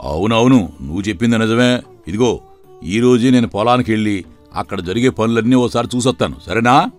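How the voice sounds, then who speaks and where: Man acting a deep-voiced stern village father. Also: brisk, faint music behind, close to an everyday voice. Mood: agreeable. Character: stern hot-tempered father and farm master, later remorseful. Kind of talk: animated moral story acting.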